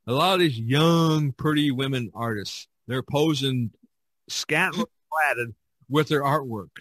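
A man's voice: mid-range voice, unhurried, a bit bright, dry recording. A man hosting a podcast in a casual, chatty style, often chuckling as he talks. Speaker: podcast host.

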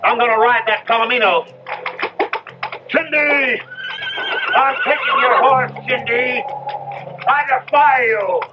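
High, strained, defiant young man. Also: takes it slowly, long pauses. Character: reckless, defiant young husband. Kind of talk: 1970s radio drama acting. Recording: dull old radio, music behind.

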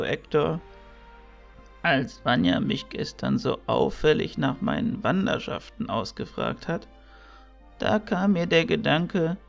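A man playing a kindly, teasing old mentor priest in a fantasy audio drama, gravelly and fatherly. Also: fairly high pitch, heavily acted. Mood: knowing, gentle. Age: older.

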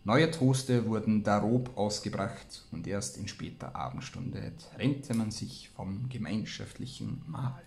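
A man hosts a relaxed reading and discussion. His voice sits fairly low and has a soft, dark tone. The room rings noticeably around him. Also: unhurried, creaky, hardly any pauses, a little hiss or hum. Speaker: host. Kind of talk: reading aloud and casual commentary. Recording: roomy, echoey room sound.

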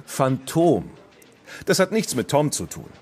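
An actor playing a genial, avuncular small-town policeman who talks to children in a measured way in an audio play. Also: a little high, sing-song, darkish tone, husky, full character voice.

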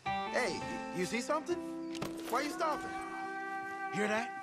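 Young American outlaw for Western game: high voice, folksy and frank, over loud music and hooves. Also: male voice, big pitch swings, a little slow, exaggerated voice. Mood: watchful. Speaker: game character, outlaw gang member. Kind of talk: video game dialogue in a Western. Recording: music under the dialogue, horse hooves. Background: loud music and horse hooves.

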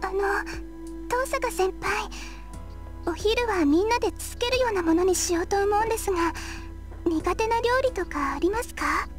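Shy, high-voiced lovestruck girl. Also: lively intonation, fast, faint music behind. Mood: timid. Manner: hesitant, soft-spoken. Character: timid, sulky teenage girl in love.

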